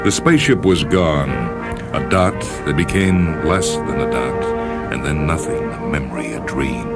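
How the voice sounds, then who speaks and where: A man reading a science fiction story as narrator, in a solemn, measured dramatic style. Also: rumbling low, expressive. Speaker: narrator, short story reader. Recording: music under the voice. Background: music.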